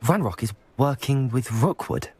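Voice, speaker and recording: A woman's voice: very deep voice, expressive, unhurried, darkish tone, breathy edge, exaggerated voice. An actress voicing a polite, reserved young female wizard student in a video game.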